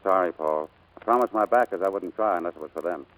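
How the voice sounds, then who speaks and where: An actor playing a breezy, cocky, footloose pilot in a 1940s radio drama, slangy and offhand. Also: male voice, deep voice, quick pace, close to an everyday voice, low-quality recording. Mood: casual, evasive. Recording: vintage 1940s radio broadcast.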